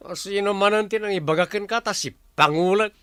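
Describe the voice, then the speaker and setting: Sharp-voiced surly Filipino drunkard husband man acting. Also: high voice, a little fast, clear, resonant tone, dry recording, studio quality. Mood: surly, challenging. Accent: Filipino, Ilocano. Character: lazy, hard-drinking husband, surly and defiant. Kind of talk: radio drama acting.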